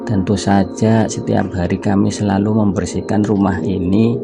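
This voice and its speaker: A man's voice: fairly low pitch, level tone, moderate pace. A man voicing a humble, dutiful house caretaker in a cartoon, polite and deferential. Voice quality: gravelly. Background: music.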